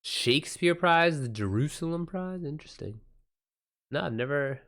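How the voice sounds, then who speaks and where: A man's voice: fairly high pitch, lively intonation, moderate pace, a bit bright. Casual American guy chatting on a livestream. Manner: informal, slangy, swears freely. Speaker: livestream host. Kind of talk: casual chat with livestream viewers.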